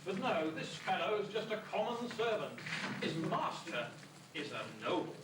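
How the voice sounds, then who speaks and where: A man playing a fussy, anxious father in an amateur rhyming pantomime, fretful and expressive. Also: high-pitched, moderate pace. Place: school hall stage, far camera. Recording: distant, echoey amateur hall recording, loud noise, audience.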